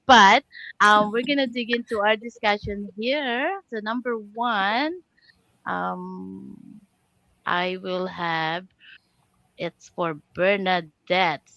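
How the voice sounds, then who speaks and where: A woman's voice: mid-range voice, slow pace, gravelly, sharp tone, no echo, dull audio. An older woman, a grandmother, hosting a live online panel chat and welcoming her guests, with a few restarts as she reads out the questions. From Canada.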